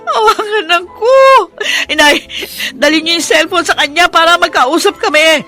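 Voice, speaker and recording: A woman's voice: extremely high pitch, normal speed, bright, forceful tone, soft background music. An actress playing an anxious, heartbroken mother on the phone in a Filipino radio drama, tearful and wailing.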